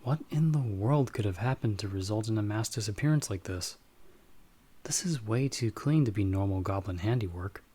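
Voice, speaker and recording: Give this role a fairly low male voice from a man acting it out. He is a watchful bounty hunter who knows magic and monsters. He speaks to his companion in measured, slightly formal explanations, in the style of an ASMR audio roleplay. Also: expressive, mellow, slightly rough. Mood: calm, watchful.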